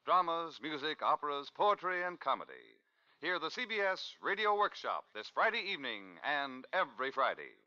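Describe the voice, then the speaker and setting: A man's voice: a little high, big pitch swings, normal speed, sharp tone. An American man announcing on 1950s radio with a formal, dramatic delivery. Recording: dull 1950s radio broadcast recording.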